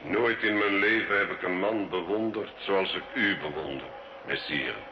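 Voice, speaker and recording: A male actor playing a cunning, scornful sorcerer and alchemist, solemn, measured and theatrical, in an old radio drama. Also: low-pitched. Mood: deferential. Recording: dull, echoey, hissy old radio recording.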